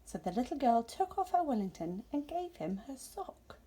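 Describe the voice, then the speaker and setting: A woman gently and expressively narrating a children's story as its reader. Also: sits high, sing-song, normal speed, slight background noise.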